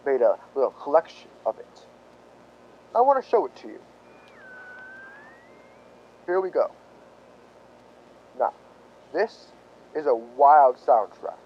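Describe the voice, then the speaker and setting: A man acting a folksy, sly police lieutenant who toys with suspects in a halting, mock-humble way, in radio drama style. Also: mid-range voice, highs cut off, slight background noise.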